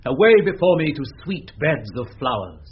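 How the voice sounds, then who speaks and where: A man's voice: fairly high pitch, sing-song, normal speed, husky, full character voice. An actor with a British accent playing a lovesick, languishing nobleman declaiming rhapsodic verse in a radio play. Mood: lovesick rapture. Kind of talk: 1970s BBC radio Shakespeare verse acting. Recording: dull, noisy vintage radio recording.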